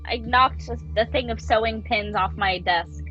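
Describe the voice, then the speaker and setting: A woman's voice: on the high side, a little slow, bright, forceful tone, faint music behind. Wry woman player on a dull lo-fi mic. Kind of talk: casual tabletop game chat. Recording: dull lo-fi sound.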